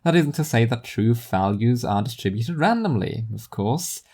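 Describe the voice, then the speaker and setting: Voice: mid-range voice, expressive, moderate pace, darkish tone, breathy edge. A storyteller reading scripted first-person fiction in a wry, literary manner. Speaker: narrator and storyteller.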